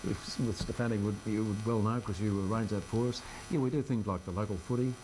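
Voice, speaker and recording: A man's voice: lowish voice, fairly even intonation, a little fast, a little mellow, a little hiss or hum, poor audio. An older Australian man, a local radio presenter, chatting informally in an interview with a wry, self-deprecating manner. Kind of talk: casual interview chat. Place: radio studio.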